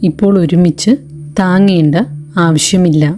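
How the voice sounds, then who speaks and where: A woman leading a guided meditation in a gentle, calm, deliberate way, leaving long pauses between short phrases. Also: fairly low pitch, faint music behind. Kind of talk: slow guided meditation narration.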